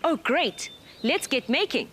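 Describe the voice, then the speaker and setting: A woman's voice: high voice, big pitch swings, a little slow, fairly bright tone, a little hiss or hum. A woman presenting a children's TV show, giving cheerful, patient step-by-step craft instructions. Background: music.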